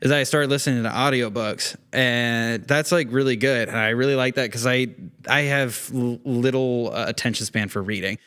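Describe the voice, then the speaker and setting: A man's voice: medium pitch, normal speed, fairly bright tone, clear, resonant tone. A man chatting casually as a podcast guest, rambling along with plenty of "you know" and "like". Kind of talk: casual chat.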